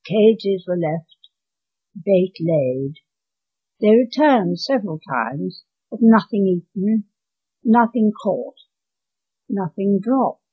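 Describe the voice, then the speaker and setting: A woman in her nineties with an English accent reads her own story aloud in measured, deliberate phrases. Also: medium pitch, animated, slightly rough. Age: 90s. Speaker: author reading own story. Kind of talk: scripted storytelling, read aloud. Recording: dull lo-fi home recording.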